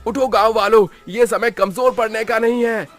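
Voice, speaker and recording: A man's voice: high-pitched, fairly even intonation, normal speed, soft background music, voice pushed up, close to an everyday voice. A man voicing an angry, determined villager who fights witches in a horror story. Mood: angry, rallying.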